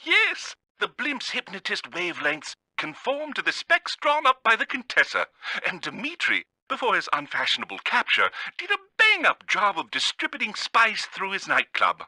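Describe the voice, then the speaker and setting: An actor playing a pompous, theatrical villainous bird genius in a video game, speaking with a British accent. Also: male voice, sits high, wildly swooping pitch, moderate pace, pushed and bright, no echo, full character voice. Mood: grandiose.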